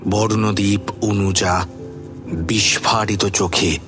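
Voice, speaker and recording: A man's voice: lowish voice, steady tone, takes it slowly, over music. A man giving scripted, dramatic narration for an audio story.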